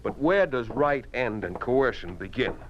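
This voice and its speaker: A man's voice: mid-range voice, animated, a little slow, background noise, lightly acted. An actor playing a stern, principled newspaper boss in a 1950s radio drama, commanding and pointed in his questions, on a muffled old recording. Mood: controlled indignation.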